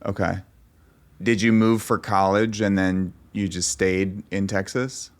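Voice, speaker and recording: A man chats with a job applicant in a casual call. He sounds friendly and loose, stumbling over restarts and fillers. His voice sits a little low. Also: flat delivery, measured pace. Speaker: interviewer posing as an employer. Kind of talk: casual video call conversation.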